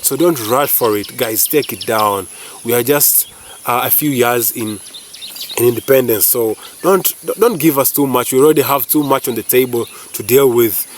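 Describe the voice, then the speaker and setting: A man's voice: medium pitch, normal speed, slight background noise. A man from Uganda with a Ugandan English accent who is not a native English speaker, vlogging casually, talking straight to viewers, rambling, searching for words and repeating himself. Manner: talks straight to viewers, keeps saying "guys". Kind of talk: casual opinion vlog.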